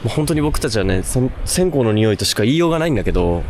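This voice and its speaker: A man's voice: medium pitch, sing-song, rapid-fire, darkish tone, a little hiss or hum. A man hosting an unscripted ghost-hunting video on location outdoors, talking in a casual, conversational way. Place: outdoors at a suspension bridge.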